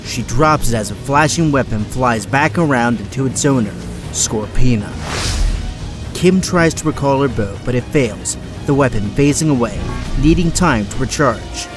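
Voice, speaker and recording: A man's voice: mid-range voice, lively intonation, normal speed. A man narrating an action audio drama in a dramatic, scripted style. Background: loud orchestral music.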